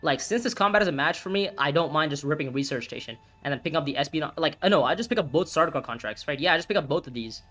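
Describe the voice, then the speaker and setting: A man in his early twenties streaming a board game, thinking his moves aloud, reacting loudly and swearing at bad turns. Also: on the high side, animated, racing, hardly any pauses, soft background music. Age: 20s. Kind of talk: live gameplay commentary, thinking aloud.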